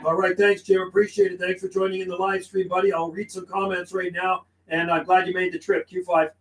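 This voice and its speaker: A man's voice: sits high, little pitch movement, brisk, fairly bright tone, faint music behind, rough recording. A man working as a CB radio operator on a livestream, mixing radio calls with laid-back, friendly, slangy chat. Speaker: CB radio operator and live streamer.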